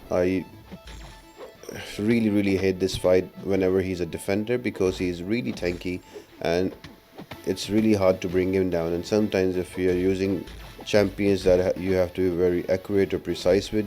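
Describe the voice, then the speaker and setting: Deep mellow guy doing a casual game guide, explains stuff step by step, bit of music behind. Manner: explanatory, instructional. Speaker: gaming guide video narrator. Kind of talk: casual game walkthrough commentary. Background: faint music.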